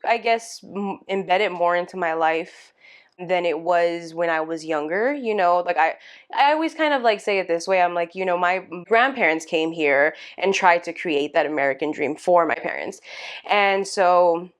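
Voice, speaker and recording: A woman's voice: fairly low pitch, moderate pace, clean tone. A woman around thirty from California's San Fernando Valley, talking casually and hesitantly as a podcast guest, with many fillers and small laughs. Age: about 30. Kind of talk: casual interview chat.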